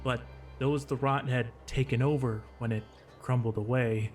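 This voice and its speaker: A man playing a burly, gentle, worried pony in an audio drama, speaking haltingly and tentatively. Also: mid-range voice, steady tone, soft background music, natural voice. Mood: hesitant, uneasy. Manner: hesitant, halting. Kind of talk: audio drama character acting.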